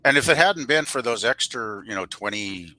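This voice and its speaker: A man on a casual livestream panel who talks in a halting, rambling way, often saying "you know". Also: medium pitch, animated, sharp tone, no room sound, poor audio.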